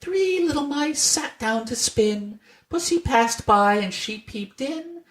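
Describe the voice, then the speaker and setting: A narrator putting on tiny squeaky singing mice. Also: lively intonation, takes it slowly. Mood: gleeful, singsong. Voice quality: small, thin, twittering voices. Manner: singsong nursery-rhyme chanting. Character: chorus of tiny merry, cheeky little mice singing rhymes.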